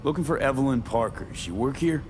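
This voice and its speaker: A man's voice: medium pitch, expressive, a little fast, lightly acted. A man playing a blunt, guarded mercenary in a video game, talking in terse, clipped lines. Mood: blunt, insistent. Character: blunt, guarded male mercenary searching for a missing person. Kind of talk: scripted video game acting. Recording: faint ambient noise.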